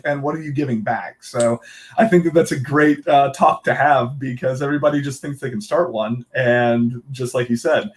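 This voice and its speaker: A man hosting a casual interview, enthusiastic and agreeable, laughing readily with his guest. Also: on the high side. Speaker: interview host.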